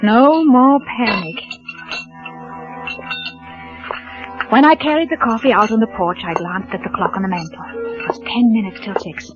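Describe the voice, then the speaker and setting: Actress voicing a scared young wife, stammering, breathless, high voice, muffled old radio sound. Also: female voice, brisk, music in the background, lightly acted. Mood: tense, narrating. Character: frightened young wife hiding her fear from her husband. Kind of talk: 1940s radio drama acting.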